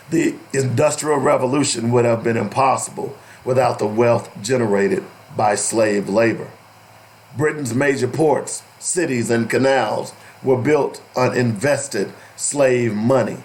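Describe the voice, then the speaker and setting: A man hosting an opinionated radio talk show, deliberate and emphatic, with a strained, cracking voice that is giving out. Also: medium pitch, a little hiss or hum, low-quality recording. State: losing his voice. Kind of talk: opinionated radio talk, partly reading aloud.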